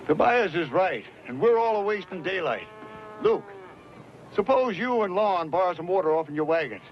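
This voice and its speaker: A dull radio western recording with faint noise. An actor plays a no-nonsense frontier wagon boss, decisive and rustic, in a fairly high male voice. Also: animated, moderate pace. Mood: brisk. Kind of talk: western radio drama acting.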